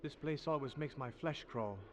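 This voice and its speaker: A man playing an earnest, troubled gentleman investigator in a video game, serious and formal. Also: on the high side, moderate pace, a little hiss or hum, lightly acted. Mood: uneasy. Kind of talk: scripted video game drama.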